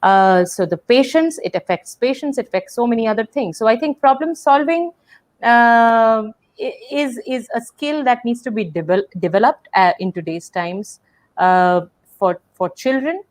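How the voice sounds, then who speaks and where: A woman's voice: a little high, moderate pace. A woman hosting a webinar on a video call, speaking thoughtfully, with many fillers and restarts. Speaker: webinar host. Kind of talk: casual webinar conversation.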